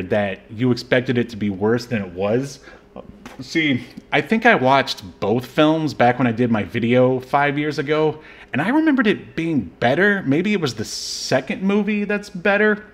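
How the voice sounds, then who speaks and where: A man's voice: medium pitch, expressive, a little slow. A man co-hosting a podcast, chatting casually about an old movie, rambling with lots of fillers and restarts. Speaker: podcast co-host. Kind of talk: casual movie review chat.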